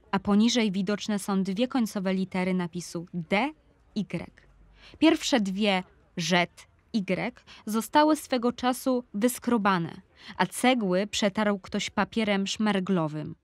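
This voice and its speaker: A woman's voice: mid-range voice, takes it slowly, no echo, close to an everyday voice. A woman reading a literary memoir in an audio drama, reminiscing about her hometown and youth.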